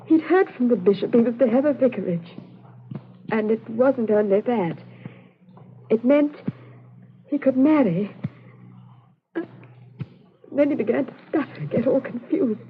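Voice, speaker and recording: Distraught daughter, halting and pleading, 1940s radio drama style, very narrow tinny sound with faint noise. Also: female voice, high voice, expressive. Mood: halting, tearful. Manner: pleading, halting, repeats herself. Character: distraught, frightened daughter confessing to her stern father.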